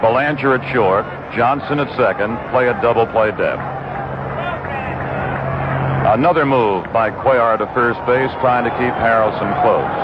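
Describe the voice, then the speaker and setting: An American man calling baseball play-by-play for radio in measured, descriptive short phrases. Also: medium pitch. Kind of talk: live radio sports play-by-play. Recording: noisy dull vintage radio broadcast. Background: loud stadium ambience.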